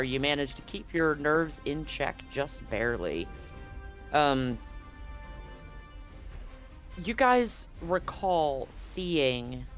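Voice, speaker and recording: A man with a slightly raised pitch guides his players through a grim tabletop scene, pacing each description slowly and deliberately. He often stops and restarts mid-sentence, with faint music underneath. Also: fairly bright tone. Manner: slow, deliberate scene-setting with frequent restarts. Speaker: game master. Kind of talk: narrating a tabletop horror game.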